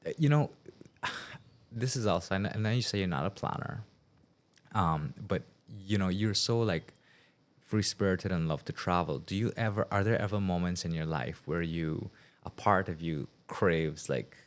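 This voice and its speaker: A man hosting a podcast, asking relaxed, meandering questions with many fillers. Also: low voice, normal speed. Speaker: podcast host. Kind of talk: casual interview chat.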